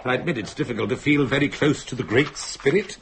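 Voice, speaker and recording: A man's voice: mid-range voice, a little fast, vocal fry, slight background noise, natural voice. A man with a British accent playing a witty, teasing middle-aged husband in a radio drama, florid and grandiloquent in manner. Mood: exuberant. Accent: British English. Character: theatrical, teasing husband, witty and playfully menacing.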